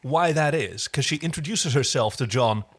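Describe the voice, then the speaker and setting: A man co-hosting a podcast, casually retelling a TV show in a playful, animated way. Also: medium pitch, normal speed, breathy edge. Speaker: podcast co-host. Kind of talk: casual chat recapping a TV show.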